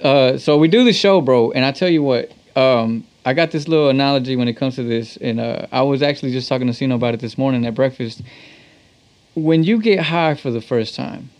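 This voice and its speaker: A man's voice: medium pitch, brisk, darkish tone. Slangy, profane man telling stories. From Texas. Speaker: musician guest, talk box player. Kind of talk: casual storytelling in an interview.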